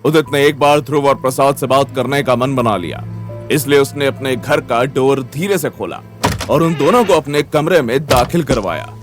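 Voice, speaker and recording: A man's voice: medium pitch, normal speed. A man narrating a scripted audio story in a dramatic storytelling style. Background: background music.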